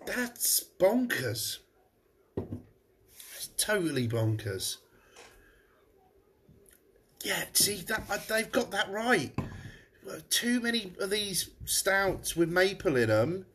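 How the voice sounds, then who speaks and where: A man's voice: high-pitched, a bit bright, a little hiss or hum. A man, a pub landlord chatting casually on a live stream while tasting beer, his nose blocked up. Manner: chatty, rambling, frequent restarts. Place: room above his pub.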